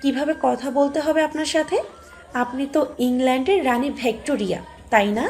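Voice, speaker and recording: A high-pitched, bossy neighbour woman played by a woman in an audio story drama. She scolds and lectures with sarcastic, needling questions. Soft music runs behind her. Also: fairly quick. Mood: scornful.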